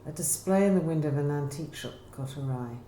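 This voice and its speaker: A woman's voice: low-pitched, quick pace, dark tone. A woman reading scripted narration from a novel, telling the story aloud. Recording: roomy echo, faint background noise.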